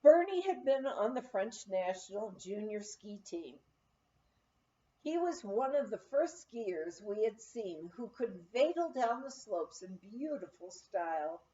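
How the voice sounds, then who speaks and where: A woman reading a memoir aloud as an expressive storyteller, in the manner of an audiobook narrator. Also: medium pitch, slow pace, lo-fi sound. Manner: storytelling, acts out quoted dialogue. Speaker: audiobook reader.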